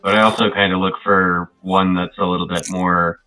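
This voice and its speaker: A man's voice: low-pitched, flat delivery, moderate pace, sharp tone. A man playing a tabletop game, chatting casually and hesitantly, often trailing off, on band-limited audio. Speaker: tabletop roleplaying player. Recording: muffled, phone-like band-limited sound.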